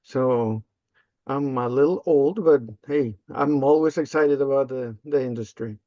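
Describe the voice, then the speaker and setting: An older man from Peru, a native Spanish speaker, answering interview questions casually and haltingly with frequent ums and uhs. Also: medium pitch, dark tone, no room sound. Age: 50s or older. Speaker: interview guest, language access specialist. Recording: slightly dull, lo-fi close audio.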